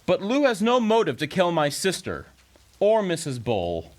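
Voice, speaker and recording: A man's voice: high-pitched, huge pitch swings, normal speed. A man playing an earnest, reasoning, downcast peacemaker in an audio drama.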